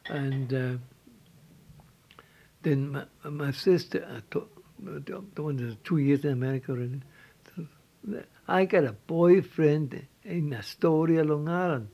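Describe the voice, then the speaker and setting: An older man from Switzerland with a Swiss German accent, telling his life story in halting English with repeated words and restarts. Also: a little high, mellow. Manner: halting, repeats words, non-native phrasing. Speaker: interviewee.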